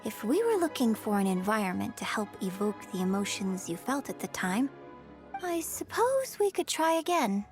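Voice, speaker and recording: A woman playing a poised young opera performer in a video game, speaking as a patient, articulate acting mentor in measured phrases. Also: mid-range voice, sing-song, music in the background. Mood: thoughtful, encouraging.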